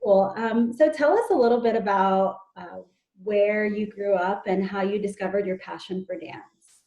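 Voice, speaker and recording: A woman's voice: mid-range voice, normal speed, warm tone. A woman hosting a casual interview in an encouraging, affirming way. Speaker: interview host, dance teacher. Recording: dull, echoey room sound.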